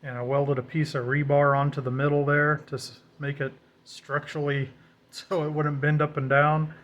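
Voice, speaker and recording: A man's voice: fairly high pitch, flat delivery, moderate pace, fairly bright tone. An American man talking casually and off the cuff as he shows a homemade project, in a folksy, self-deprecating way. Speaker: DIY vlogger.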